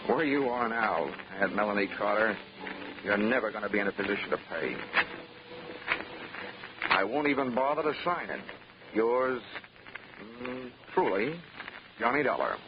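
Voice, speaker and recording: A man's voice: lowish voice, big pitch swings, normal speed, pauses often, very noisy. An actor playing a wry, hard-boiled investigator who narrates his case with dry, clipped wisecracks in 1940s radio style. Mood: sardonic. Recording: muffled 1940s radio with music.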